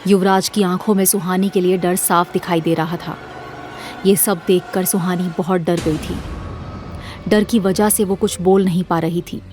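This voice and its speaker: A woman narrating a scripted horror story in a measured, dramatic storytelling manner. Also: on the low side, fairly even intonation, darkish tone, soft background music.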